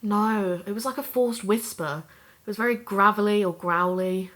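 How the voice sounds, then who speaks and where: A woman's voice: mid-range voice, moderate pace, a bit bright, natural voice. An actress playing a young woman co-host, a bit shaken after an attack yet upbeat.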